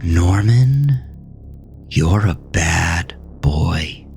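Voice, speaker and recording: A man voicing a stern, scolding mother in a horror audio drama, speaking slowly and accusingly. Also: low voice, expressive, vocal fry, darkish tone, faint music behind, full character voice. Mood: menacing scolding.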